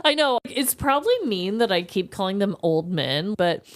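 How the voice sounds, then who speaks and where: A woman's voice: medium pitch, big pitch swings, normal speed, no room sound, clean studio sound. A woman co-hosting a podcast in casual comedic chat, slangy, chatty and emphatic. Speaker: podcast co-host.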